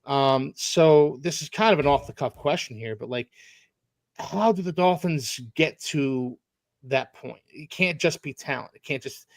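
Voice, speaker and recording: A man's voice: a little high, unhurried, creaky, dry recording. A man from Philadelphia hosting a casual sports chat, talking enthusiastically and at length. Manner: enthusiastic, expansive.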